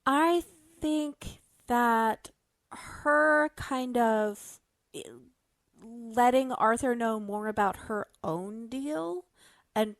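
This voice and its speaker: Female podcast guest, unhurried and hesitant, mid pitch, dry close sound, casual discussion. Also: no room sound.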